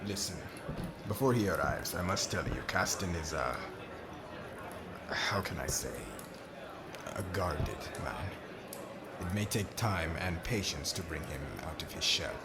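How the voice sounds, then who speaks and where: Man playing a low-voiced, solemn, formal king. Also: heavy background noise. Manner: formal, measured, oratorical. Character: solemn diplomatic young king addressing subjects and friend. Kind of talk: ASMR roleplay acting.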